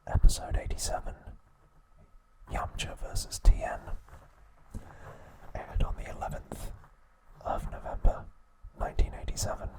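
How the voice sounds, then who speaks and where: A man narrating ASMR in a hushed, breathy whisper, in short measured phrases. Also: rough recording. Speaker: ASMR host. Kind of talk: whispered ASMR narration, recounting episode summaries.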